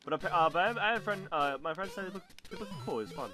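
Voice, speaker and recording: A young man co-hosting a casual video game chat, talking quickly with lots of restarts. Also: music in the background. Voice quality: deep bass voice. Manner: fast, animated, stumbling restarts. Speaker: co-host.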